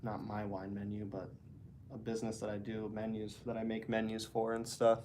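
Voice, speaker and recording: A man's voice: lowish voice, moderate pace, noisy background. A man who casts esports, chatting casually to his stream from a hotel room, with a stuffy nose. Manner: rambling, relaxed, talking to chat. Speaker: esports caster. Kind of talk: casual livestream chat.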